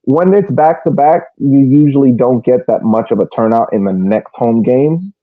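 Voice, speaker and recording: A man's voice: mid-range voice, moderate pace, no room sound. A man co-hosting a sports podcast in casual chat, often repeating words as he restarts phrases. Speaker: soccer podcast co-host. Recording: tinny phone-like line, dry.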